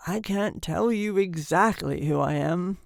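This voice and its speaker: A woman's voice: high voice, sing-song, measured pace, dry recording, clean studio sound, pitched above the performer's own voice, full character voice. A woman voicing a querulous seventy-year-old newborn man in a storybook, with a weak, cracked, whining voice. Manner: complaining, querulous.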